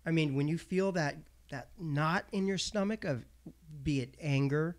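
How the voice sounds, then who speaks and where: Halting reflective man in his thirties. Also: fairly high pitch. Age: 30s. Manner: halting, many fillers and restarts, reflective. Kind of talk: casual conversation.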